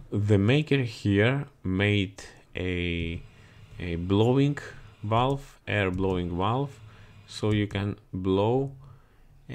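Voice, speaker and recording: A man's voice: fairly low pitch, a little mellow. A man explaining equipment as he walks through a ship's engine room, speaking non-native English in a repetitive way that doubles words for emphasis, recorded on a body-worn camera. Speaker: marine chief engineer giving a ship tour. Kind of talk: explanatory walkthrough video. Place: ship engine room, indoors. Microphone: body-worn action camera.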